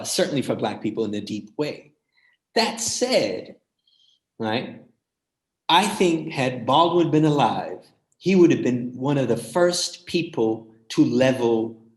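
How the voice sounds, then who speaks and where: A man with a mid-pitched voice gives thoughtful, emphatic commentary as a guest scholar on a panel. He presses his points and often punctuates them with a quick questioning tag. The sound is echoey, like a live-streamed call.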